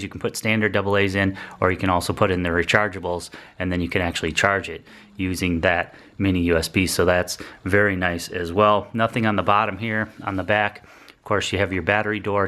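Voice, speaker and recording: Man, lowish voice, casual explanatory reviewer, plainspoken, informal demonstration. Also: a bit bright. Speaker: product reviewer.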